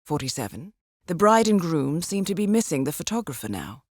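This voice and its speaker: A woman playing a cool, composed intelligence handler in a video game, giving mission briefings in a measured, formal, dryly witty manner. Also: low voice, very animated, no room sound, clean studio sound. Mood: measured, informative.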